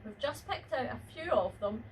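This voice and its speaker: A woman teacher speaks to her pupils in an upbeat, encouraging way, her voice sitting a little high. She is recorded from some distance, with a faint hum of the room behind her. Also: lively intonation, moderate pace. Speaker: school teacher leading assembly. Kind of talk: assembly address to pupils.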